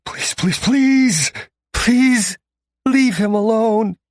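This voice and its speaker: A man's voice: sits high, extremely slow, a little mellow, no echo, studio quality, pitched above the performer's own voice. A man voicing a terrified young woman held captive, screaming and pleading.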